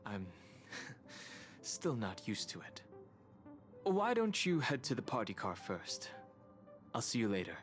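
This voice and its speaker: A man voicing a calm, reserved and serious young crew member in a game, restrained and matter-of-fact. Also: medium pitch, takes it slowly, pauses often, soft background music. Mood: reserved, reassuring. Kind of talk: anime-style game voice acting.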